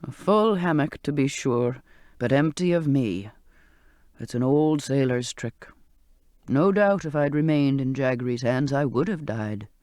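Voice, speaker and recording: A frail old ship's cook speaks in a low, hushed murmur, as an audiobook reader puts him on. He is slow, wry and gentle. The voice is mellow and dark, with a close, dry sound. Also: lively intonation, no echo, close to an everyday voice. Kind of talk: audiobook character voice.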